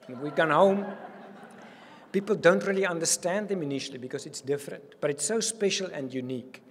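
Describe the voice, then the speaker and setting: An older man from South Africa with an Afrikaans-accented voice, giving an earnest, animated welcome speech as a university provost at a podium microphone. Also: a little high, a little fast, a little hiss or hum. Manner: earnest and animated, gesturing widely. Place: reception hall with curtains. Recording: long hall reverb.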